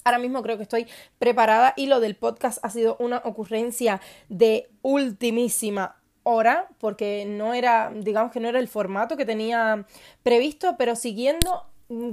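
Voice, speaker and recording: A woman from Cuba hosting her own podcast, telling her personal story in a confiding, enthusiastic way with many pauses and fillers. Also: on the high side, darkish tone. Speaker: podcast host.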